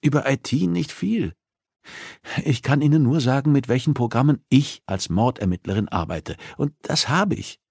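Man voicing a defiant captive policewoman, raised pitch. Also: animated, moderate pace, vocal fry, darkish tone, breathy edge, dry recording, clean studio sound. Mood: strained, defensive. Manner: defensive, stubborn, strained. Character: defiant captive female homicide detective under interrogation.

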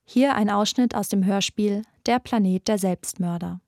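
A woman's voice: medium pitch, moderate pace, darkish tone, no echo, studio quality. A woman presenting a podcast in scripted documentary narration.

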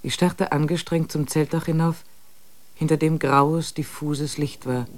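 A man quietly narrating a radio play in the first person, with a tense tone. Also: a little high, steady tone, a little slow, slight background noise.

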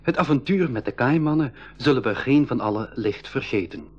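A man's voice: medium pitch, lively intonation, moderate pace, breathy edge. A man playing an eager young boy adventurer who narrates his journey in a school radio drama. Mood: measured, wondering. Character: eager boy adventurer, Marleen's younger brother, telling the tale. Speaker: narrator and character in a radio play. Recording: dull 1980s radio recording.

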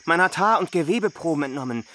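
An actor playing a grave, impassioned chronicler, speaking in a solemn, emphatic stage declamation. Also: male voice, fairly high pitch, animated, quick pace, sharp tone, slight background noise.

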